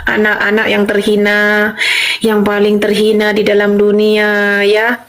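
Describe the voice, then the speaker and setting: A woman's voice: medium pitch, monotone, unhurried, fairly bright tone, slight background noise. A woman from Madura gives a fervent, informal religious testimony. She keeps repeating reassurances and calls her listeners brothers and sisters. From Madura, Indonesia. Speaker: convert giving a religious testimony.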